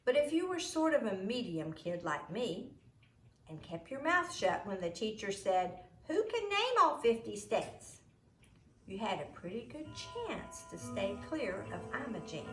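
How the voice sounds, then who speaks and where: Animated, unhurried woman reading a story. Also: medium pitch, reverberant. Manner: deliberate, emphatic storytelling. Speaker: storybook reader. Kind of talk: expressive read-aloud narration.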